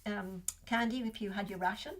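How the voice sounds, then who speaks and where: A woman's voice: mid-range voice, steady tone, a little fast. An older woman with a Liverpool accent, a guest in a casual interview, talking reflectively with many restarts. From Liverpool, England.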